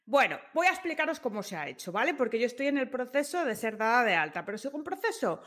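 A woman's voice: on the high side, sing-song, fast, a bit bright. A young woman from co-hosting a podcast, explaining work news with jokes and asides. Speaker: podcast co-host. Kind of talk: casual podcast chat.

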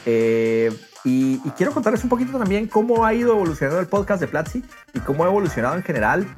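Un hombre que conduce un podcast de charla informal, contando las cosas con animación y entusiasmo. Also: male voice, sits high, very animated, fast, darkish tone, soft background music. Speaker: podcast host. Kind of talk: casual podcast interview.

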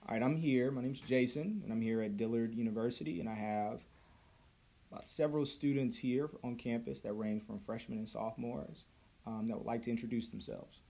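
A man hosting a podcast, first reading a prepared introduction and then moderating a student panel in a relaxed way, with many "uh"s and self-corrections. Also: medium pitch, fairly quick, vocal fry. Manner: relaxed, with frequent fillers and self-corrections when unscripted. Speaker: podcast host. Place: university campus.

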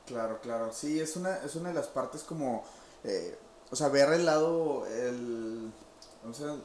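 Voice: mid-range voice, unhurried, darkish tone, slight background noise. A host asking interview questions in a casual, rambling way, searching for words. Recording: roomy echo.

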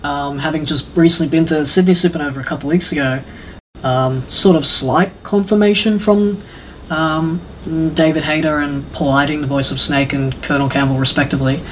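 A man's voice: a little high, steady tone, fairly quick, slight background noise, poor audio. A man chatting casually as a podcast co-host, rambling with enthusiasm and some hesitation. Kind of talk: casual chat about games and films.

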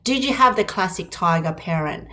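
A woman hosting a podcast, her voice sitting a little low. She asks long, winding questions in a warm chat, with many restarts and fillers. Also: expressive, normal speed, slight background noise. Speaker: podcast host and counsellor. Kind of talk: casual interview.

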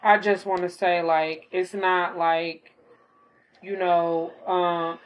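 A young woman with a slightly hoarse voice after an illness, rambling casually in a late-night monologue at home, full of fillers. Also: on the low side, little pitch movement, slow pace, rough recording. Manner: rambling, filler-heavy, talks while gaming. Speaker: podcaster.

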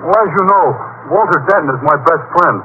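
A man playing a dim-witted, lovesick high school boy with goofy, ungrammatical speech in old-time radio comedy. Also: a little fast, lightly acted. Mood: troubled, pleading. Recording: dull, faintly noisy old radio recording.

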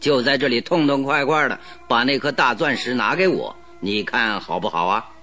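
An actor playing a shrewd, calm detective who talks in a measured, persuasive way with sly confidence in a radio drama. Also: male voice, medium pitch, faint music behind, natural voice.

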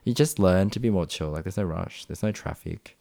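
Young Australian guy, very low voice, rambly podcast guest, dry close sound. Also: fairly quick, creaky, dark tone, dry recording. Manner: rambling, many fillers and restarts. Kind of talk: casual chat.

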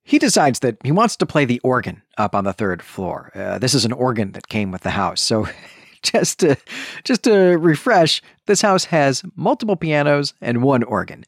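A man's voice: mid-range voice, big pitch swings, moderate pace, husky, no echo, studio quality. A man hosting a podcast, explaining a book in a conversational, emphatic way. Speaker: podcast host. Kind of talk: conversational book recap commentary.

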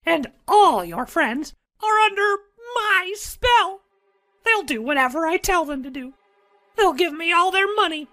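A performer voicing a gleefully mean male cartoon mouse crime boss in a parody radio drama, squeaky, giggly and taunting. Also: bright, forceful tone, exaggerated voice. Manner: mocking, taunting.

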